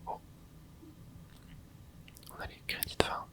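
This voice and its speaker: A host whispering casual ASMR commentary over gameplay, reacting excitedly and chatting to viewers. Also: slow pace. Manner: casual, chatty, self-correcting. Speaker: channel host.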